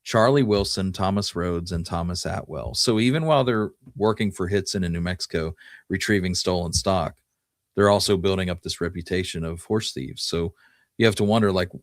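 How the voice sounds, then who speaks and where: A man's voice: sits low, normal speed, dry recording, studio quality. A man hosting a talk show, telling history in a casual, conversational way and explaining it in detail. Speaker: podcast host.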